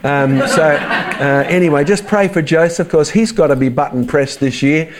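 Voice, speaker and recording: A man's voice: a little high, normal speed, noisy background. A man with a mild Australian accent giving a casual, joking church talk to an audience. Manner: chatty, joking asides to the audience. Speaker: creationist speaker.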